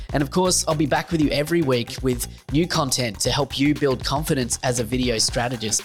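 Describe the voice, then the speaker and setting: A man with an Australian accent hosting a podcast, upbeat and friendly as he wraps up an interview. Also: medium pitch. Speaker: podcast host. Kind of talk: interview hosting and scripted outro.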